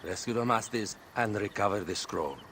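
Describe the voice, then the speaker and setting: An actor playing a grave older royal adviser in a game cutscene, speaking in a measured, lecturing way. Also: male voice, fairly low pitch, a bit bright, slight background noise, lightly acted. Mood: stern. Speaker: queen's adviser. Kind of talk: video game cutscene acting. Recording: reverberant game audio with music.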